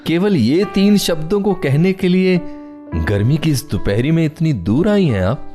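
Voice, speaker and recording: An actor playing a courteous, wry recluse in a Hindi radio play, speaking in a measured, formal, gently ironic way. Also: male voice, on the high side, animated, soft background music. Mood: wry, teasing. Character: courteous, wry, reclusive man living in a forest hut.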